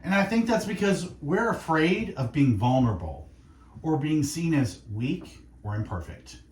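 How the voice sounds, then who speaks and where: A man hosting faith-based radio, speaking in an earnest, reflective monologue of encouragement with short, deliberate phrases. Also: on the high side, sing-song, a little mellow, echoey room. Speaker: radio host. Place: radio studio.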